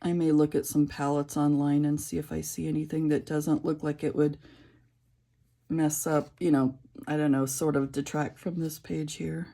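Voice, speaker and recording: A middle-aged woman with a raspy voice, chatting casually and rambling with many fillers while she colors. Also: low-pitched, little pitch movement, normal speed, warm tone. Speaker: coloring channel creator.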